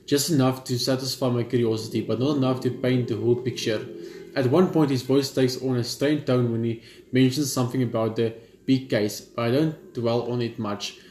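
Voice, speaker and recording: A man's voice: medium pitch, steady tone, moderate pace, a little mellow, echoey room. A man who hosts a gaming channel, reading a visual novel's text aloud and chatting between passages, casual and stumbling, with the odd offhand swear.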